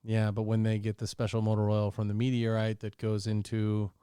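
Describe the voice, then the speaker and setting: A man's voice: on the low side, monotone, a little fast, warm tone, clear, resonant tone, dry recording, clean studio sound. A man chatting casually as a talk show panelist, joking and riffing along with the others. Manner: jokey, riffs in mock voices. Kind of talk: casual chat, joking riffs.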